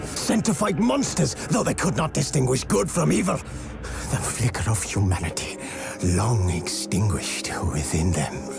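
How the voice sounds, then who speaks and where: Gravelly, slow, fanatical preacher. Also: male voice, mid-range voice, expressive, over loud music. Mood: condemning. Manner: grave, scornful, slow and emphatic, swelling to loud cries. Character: fanatical male preacher rallying war-torn people against sorcery. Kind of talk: theatrical fire-and-brimstone oration in a game cinematic.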